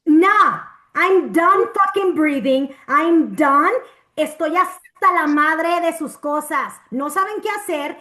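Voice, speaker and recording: Woman acting furious, high-voiced, sarcastic patient. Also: expressive, a little slow, bright, forceful tone, full character voice. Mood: furious, threatening. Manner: confrontational, swearing, switches between English and Spanish. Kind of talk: heated dramatic acting at a table read.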